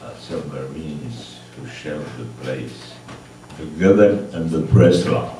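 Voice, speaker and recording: A hundred-year-old man from Egypt, reminiscing unscripted to an audience in a halting way, searching for his words. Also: extremely low pitch. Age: 100. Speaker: honoree at a birthday tribute. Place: large echoey room with an audience. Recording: echoey room, distant mic, background noise.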